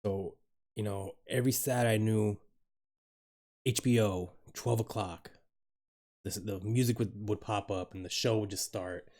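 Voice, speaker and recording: A man's voice: fairly low pitch, steady tone, a little slow, long pauses, a little mellow. Chatty, laughing male streamer. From Dominican Republic. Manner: rambling, laughs a lot, swears casually, talks to chat. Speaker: live streamer. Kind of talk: casual live-stream chat with viewers.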